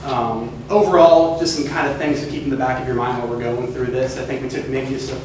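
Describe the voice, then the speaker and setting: A young man from California giving a casual, upbeat class presentation pitch, full of hedging fillers. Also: steady tone, fast talker, a little mellow, a little hiss or hum. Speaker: student presenter. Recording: echoey room from far off.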